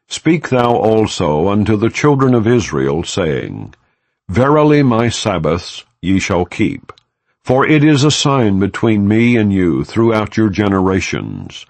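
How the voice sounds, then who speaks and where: A man narrating an audiobook of scripture in a solemn, measured, formal manner. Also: lowish voice, a little mellow, dry recording. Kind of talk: scripture reading, non-dramatized audiobook narration.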